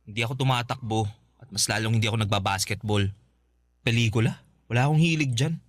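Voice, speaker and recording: Curt young Filipino man, rapid and resentful, acted. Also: mid-range voice, no room sound, clean studio sound, lightly acted. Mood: irritated. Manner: curt, defensive. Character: resentful, guarded younger brother in his twenties. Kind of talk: Filipino radio drama acting.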